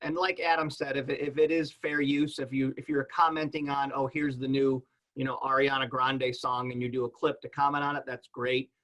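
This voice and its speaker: A man's voice: mid-range voice, level tone, a little fast, a bit bright. A man on a panel explaining things in a casual, conversational, plain-spoken way. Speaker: podcast panelist.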